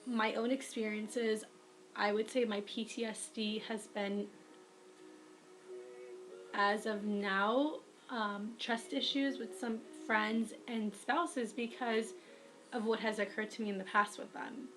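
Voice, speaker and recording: A young woman with a raspy voice, speaking to viewers into a webcam. She gives earnest, friendly advice in a casual vlog. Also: fairly high pitch, fairly even intonation, takes it slowly, a bit bright, low-quality recording. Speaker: writer giving advice.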